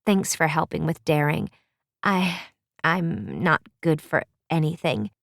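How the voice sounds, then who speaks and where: A woman voicing a moody teenage sorceress girl in a storybook reading, flat and subdued. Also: deep voice, slow pace, creaky, no echo, studio quality, full character voice. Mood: glum. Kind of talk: storybook read-aloud.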